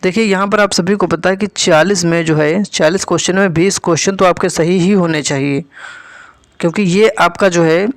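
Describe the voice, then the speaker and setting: A man's voice: high voice, steady tone, moderate pace, no room sound, clean studio sound. A man giving an informal, instructive explainer as an online exam coach, repeating points and often adding check-in tags.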